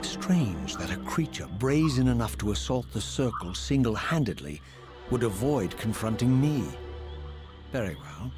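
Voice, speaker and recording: A man's voice: medium pitch, sing-song, a little slow. A man playing a proud, vengeful undead wraith, narrating in grave, formal, theatrical diction for a video game. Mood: grave, resolute. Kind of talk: dramatic scripted game narration. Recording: music behind the voice.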